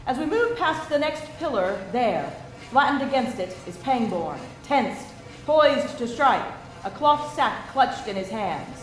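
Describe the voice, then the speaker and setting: A woman's voice: fairly high pitch, very animated, a little slow. A woman narrating stage directions from a script at a live table read. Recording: echoey room with faint hiss.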